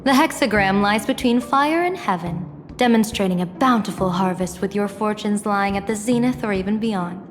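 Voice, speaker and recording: A woman's voice: mid-range voice, moderate pace, few pauses, close to an everyday voice. A woman playing a playful, self-assured general and fortune-teller in a video game, speaking in a ceremonious, flowery manner. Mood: playful, flattering. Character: grandiose, playful female general and fortune-telling strategist. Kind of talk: scripted game voice acting. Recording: long reverb, background music.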